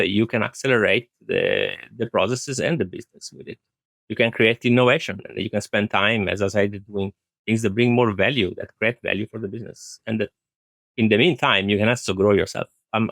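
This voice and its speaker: A man's voice: fairly low pitch, a bit bright, no room sound. A man answering interview questions as an IT director, explaining his views earnestly and repeating phrases for emphasis. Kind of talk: thoughtful conversational interview answers.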